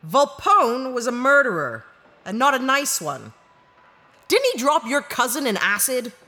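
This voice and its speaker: An actress playing a brash, cunning, cool-headed disgraced ex-narc in an audio drama. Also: female voice, high voice, sing-song, measured pace, bright, forceful tone, full character voice. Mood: cool, taunting.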